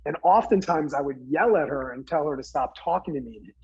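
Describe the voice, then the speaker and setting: A man from New Jersey earnestly recounting a personal story in conversation, halting, with many fillers and restarts. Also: fairly high pitch, gravelly, darkish tone, breathy edge, highs cut off. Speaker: guest speaker.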